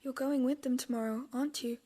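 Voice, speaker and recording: Caring teenage girl for fantasy game: high voice, gentle. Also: steady tone, fast talker. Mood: gentle, concerned. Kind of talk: video game cinematic acting.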